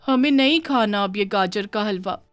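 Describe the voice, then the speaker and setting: A woman's voice: medium pitch, normal speed, no room sound, faint music behind, close to an everyday voice. A woman voicing an earnest young village daughter who pleads with feeling in a cartoon moral story. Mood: complaining.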